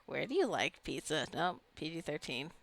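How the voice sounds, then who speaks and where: Lowish-voiced woman casually narrating gameplay. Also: very animated, normal speed, creaky. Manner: chatty, rambling, musing aloud. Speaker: gamer narrating own gameplay. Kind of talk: casual let's play commentary.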